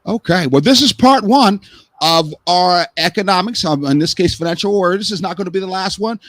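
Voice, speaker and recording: A man's voice: high-pitched, brisk, no room sound. A man hosting a live-stream talk show, speaking earnestly and rambling with repeated words. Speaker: host.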